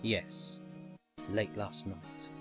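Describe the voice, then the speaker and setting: An actor playing a proud, bitter young prosecutor, condescending and sardonic, in a dramatic fan audio drama. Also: male voice, fairly low pitch, little pitch movement, drawn-out words, music in the background. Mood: guarded.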